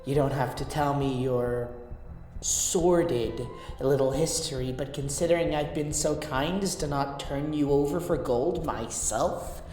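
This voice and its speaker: Woman acting a low voiced lycan merc lady, motherly n teasing, kinda dangerous, big reverb w music behind. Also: warm tone. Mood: coaxing, flirtatious. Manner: slow, teasing, purring endearments. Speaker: amateur voice actor. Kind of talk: intimate roleplay audio drama monologue. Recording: echoey reverb with music underneath.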